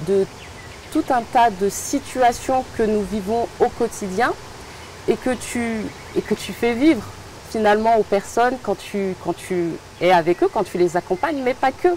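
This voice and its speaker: A woman hosting an interview, speaking in a warm, admiring way with repeated phrases. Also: medium pitch, measured pace, a little hiss or hum, low-quality recording. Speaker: interview host. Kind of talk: interview conversation.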